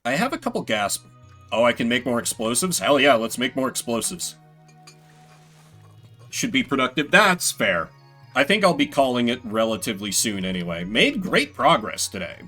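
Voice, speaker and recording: Man, game streamer, mid-pitched voice, very animated, casual commentary, faint music behind. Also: normal speed.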